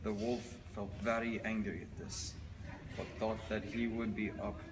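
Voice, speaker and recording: A man's voice: lowish voice, flat delivery, unhurried. A man telling a children's fairy tale as a dramatic storybook reading. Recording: noisy, reverberant hall recording.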